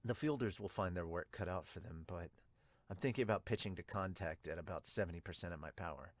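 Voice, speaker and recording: A man voicing serious baseball characters in a manga dub, speaking in a hushed, measured manner. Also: low voice, no echo, voice pushed down, close to an everyday voice. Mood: calm, serious. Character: low, serious male baseball characters and narrator.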